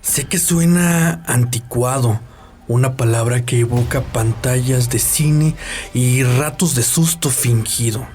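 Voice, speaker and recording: Male actor as gloating hospital nurse, medium pitch, smug, mocking, insinuating, audio drama, soft music underneath. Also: slow, natural voice. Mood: ominous. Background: background music.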